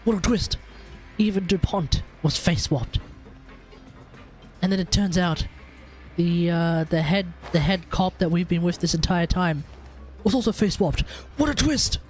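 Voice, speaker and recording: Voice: mid-range voice, unhurried. Streamer doing laid-back, jokey game commentary, mid-range voice, faint music underneath. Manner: joking, laid-back slang. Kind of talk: casual playthrough commentary.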